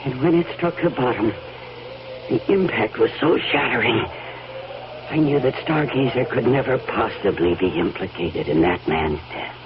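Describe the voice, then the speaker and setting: An actress playing a nervous, guilt-ridden woman in a radio drama, dramatic and halting when flustered. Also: rumbling low, lively intonation. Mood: grave, haunted. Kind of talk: radio drama narration and dialogue. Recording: muffled old radio broadcast, loud noise.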